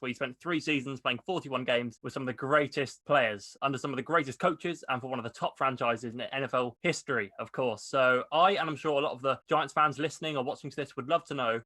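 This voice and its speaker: Brisk male podcast host with long, eager questions and a dry, close mic sound. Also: mid-range voice, sharp tone, no room sound. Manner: quick, upbeat delivery with long question setups. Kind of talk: scripted intro, then interview questions.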